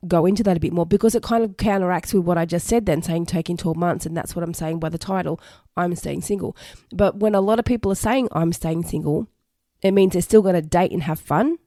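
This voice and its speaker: A woman talks through relationship advice on her podcast, a bit croaky and hoarse after being sick. Her tone is warm and mellow, close to the mic in a dry room. She is earnest and reassuring, repeating her main points. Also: fairly low pitch, no room sound. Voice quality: slightly hoarse. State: croaky from recent illness. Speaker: podcast host. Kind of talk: solo advice podcast talk.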